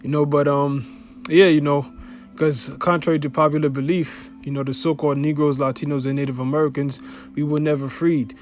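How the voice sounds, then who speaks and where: A man's voice: a little high, little pitch movement, fairly quick, faint music behind. Haitian-accented man preaching casually. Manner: conversational, fills with "you know" and "you see". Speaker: religious teacher. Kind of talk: informal preaching video.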